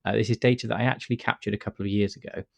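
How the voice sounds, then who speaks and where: A man with a cold and a stuffy nose, calmly talking through a software tutorial step by step with frequent uh fillers. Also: lowish voice, rapid-fire, vocal fry, dry recording. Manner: relaxed step-by-step explaining with many uh fillers. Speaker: astrophotography tutor. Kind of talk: screen-recorded software tutorial.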